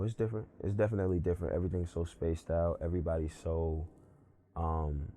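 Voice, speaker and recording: A young man from Brooklyn talks easily as a guest in a casual interview. His voice sits very low and relaxed, and he strings his thoughts together with loose fillers. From Brooklyn, New York. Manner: laid-back, filler-heavy, often adds "you know what I'm saying". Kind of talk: casual conversation.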